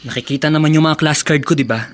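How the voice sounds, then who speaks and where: A man's voice: fairly high pitch, fast talker, lightly acted. A man playing a breezy, flippant young student nephew in a radio drama. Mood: flippant, defensive. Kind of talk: Tagalog radio drama acting.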